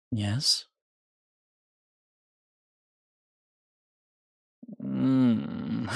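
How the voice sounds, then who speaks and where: A man's voice: fairly low pitch, vocal fry, slightly rough, dry recording, studio quality, heavily acted. An actor playing a suave, menacing male vampire in a roleplay audio, teasing and theatrical, flirtatious and possessive. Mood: seductive, coaxing. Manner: slow, teasing, theatrical, speaks in endearments. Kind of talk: roleplay audio acting addressed to the listener.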